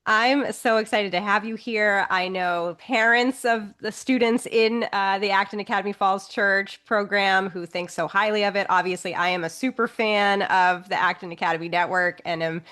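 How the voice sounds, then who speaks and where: A woman's voice: mid-range voice, steady tone, moderate pace, few pauses. Enthusiastic woman podcast host. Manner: enthusiastic, warm-up introductions. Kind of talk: podcast interview hosting.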